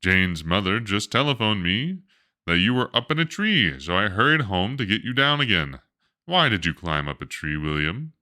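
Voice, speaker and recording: Male reader as an exasperated storybook father, bright voice, big swoops of astonished dismay. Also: on the low side, big pitch swings, moderate pace, full character voice. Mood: urgent. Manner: astonished questioning, dramatic dismay.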